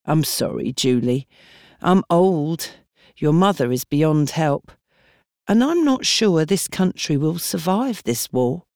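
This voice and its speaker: A woman's voice: low-pitched, animated, slow, darkish tone, dry recording, clean studio sound, heavily acted. A woman voicing a weary, kindly but blunt old neighbour giving resigned advice in an audiobook story.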